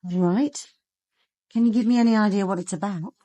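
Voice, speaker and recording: A woman with a British accent acting a brisk, businesslike police detective in a radio drama. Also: medium pitch, mellow, dry recording, lightly acted.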